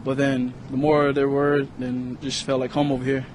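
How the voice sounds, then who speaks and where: A man, a college football player, answering interview questions in plain, halting speech. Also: mid-range voice, completely flat delivery, a little mellow. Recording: outdoor traffic noise.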